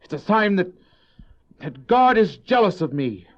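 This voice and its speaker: High-voiced proud, defiant Cain. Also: male voice, sing-song, measured pace. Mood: angry. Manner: theatrical, argumentative, sarcastic. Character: Cain, proud, resentful, defiant farmer and elder brother. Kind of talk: religious audio drama acting. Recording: dull old cassette audio drama.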